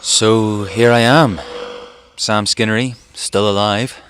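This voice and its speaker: An actor playing a haunted fugitive physicist in a wartime audio drama, musing hesitantly as if recording a diary. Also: male voice, lowish voice, steady tone, slow pace, very noisy. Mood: brooding, uneasy. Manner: hesitant, musing, with sighs and broken phrases. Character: haunted, rambling fugitive physicist, man living through a war.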